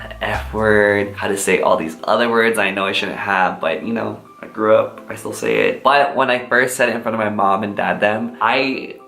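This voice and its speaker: A man's voice: on the low side, normal speed, creaky, few pauses, sharp tone. A young man from Honolulu, telling personal stories to camera in a candid, upbeat way. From Honolulu, Hawaii. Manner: chatty, upbeat and candid, sprinkled with fillers. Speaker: vlogger. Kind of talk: casual personal storytelling to camera. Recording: roomy echo, faint music.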